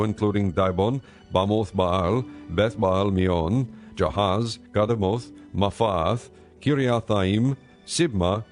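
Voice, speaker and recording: Guy narrating an audio bible, lowish voice, measured and solemn, bit of music behind. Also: darkish tone.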